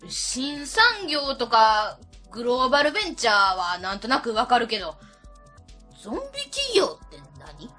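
An actress plays a blunt Kansai woman in a radio drama, always ready with a quip. Her voice is fairly high, husky and very expressive, with faint music behind it. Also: normal speed, heavily acted. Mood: puzzled, wry. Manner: blunt, teasing, questioning. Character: blunt, quick-to-quip Osaka woman at work. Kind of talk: radio drama acting, casual dialogue.

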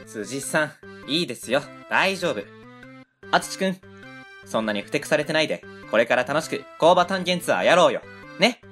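A woman voices an easygoing male factory owner in his late thirties, speaking with a Kansai accent. The voice sits low yet swings lively as he tells stories. Soft music plays faintly underneath this radio drama. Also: normal speed. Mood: friendly. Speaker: narrator and lead character. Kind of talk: amateur web radio drama.

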